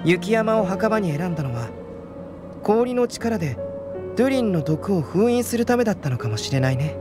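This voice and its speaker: A young, composed alchemist from a fantasy video game. The voice is high for a male and the delivery measured, scholarly and unflustered, with music playing clearly behind it. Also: big pitch swings. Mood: calm, analytical.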